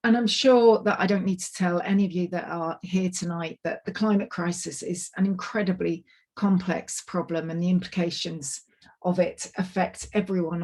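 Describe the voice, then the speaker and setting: A woman giving an online presentation on a video call, her voice a little croaky and tired, chatty and apologetic with lots of ums and restarts. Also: on the low side, warm tone. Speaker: climate project manager giving a webinar talk. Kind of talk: online presentation, loosely scripted. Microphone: computer mic on a video call.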